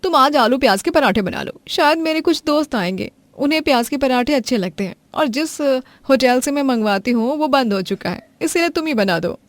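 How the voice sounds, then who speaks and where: A woman's voice: high voice, lively intonation, fairly quick, few pauses, no echo, voice pushed up. A woman voicing a scheming elder sister-in-law who wheedles and gives orders. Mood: bossy.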